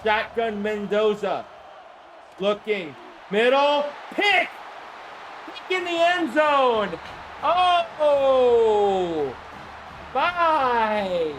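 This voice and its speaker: A man calling a simulated football game on a live stream, hoarse from the flu, chatting with viewers and breaking into excited shouts on big plays. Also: extremely high pitch, extremely slow, long pauses, a faint crowd, a little hiss or hum. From Florida. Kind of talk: live-stream play-by-play commentary.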